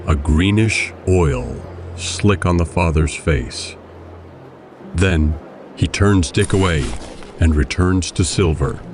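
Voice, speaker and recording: A man's voice: low voice, slow pace, darkish tone, slight background noise. A man giving scripted narration for an audio drama. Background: music and crowd sounds.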